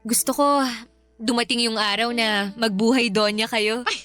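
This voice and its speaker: A woman's voice: fairly high pitch, steady tone, normal speed, a bit bright, smooth, soft background music, lightly acted. A young woman playing a devoted, hardworking adopted daughter in a radio drama, polite and eager. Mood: earnest devoted. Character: hardworking grateful adult daughter, bakery owner.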